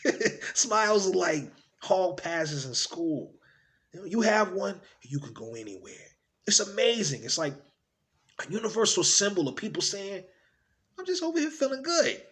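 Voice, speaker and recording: A man performing a theatrical monologue as a tender, playful, earnest father-to-be speaking intimately and conversationally to his unborn child, moving between hushed and exuberant delivery. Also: high voice, very animated, normal speed.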